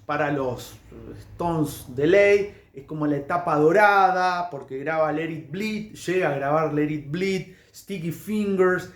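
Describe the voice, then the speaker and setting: A man with an Argentine accent talking casually about music history to camera, digressing and often stopping to correct himself. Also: sits high. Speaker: music vlogger. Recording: echoey room.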